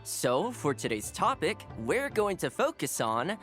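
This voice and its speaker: Young male teacher, video game, polite, earnest, expressive melodic delivery, soft music behind. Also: on the high side, unhurried. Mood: encouraging, instructive. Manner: courteous, earnest, articulate. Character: polite young male housekeeper teaching his first class. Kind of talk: video game voice acting, instructional speech. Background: background music.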